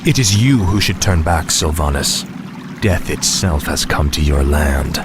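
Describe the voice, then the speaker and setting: A man's voice: extremely low pitch, animated, measured pace, noisy background. A man playing an arrogant, cold fallen prince turned death knight in a video game, music behind him. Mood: menacing.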